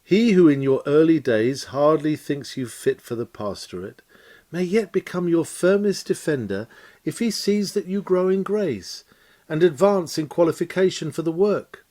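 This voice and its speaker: A man reading a lecture aloud as scripted narration, in a measured, emphatic, oratorical manner. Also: fairly high pitch, lively intonation, dark tone. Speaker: reader of a historic sermon lecture.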